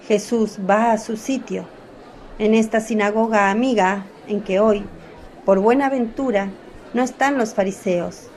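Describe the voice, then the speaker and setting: A woman narrating a scripted radio drama in a calm, even storytelling voice. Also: a little high.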